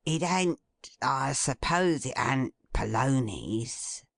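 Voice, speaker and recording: A woman voicing a poor old working man who muses slowly in plain, homely speech. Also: a bass voice, expressive, creaky, no room sound, exaggerated voice. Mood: musing. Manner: slow, musing, homely speech.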